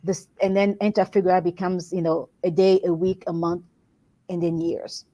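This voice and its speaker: A woman's voice: fairly low pitch, flat delivery, normal speed, warm tone, muffled recording. A woman from Congo, a workplace wellbeing consultant, answering interview questions in an earnest, reflective way, often restarting her phrases.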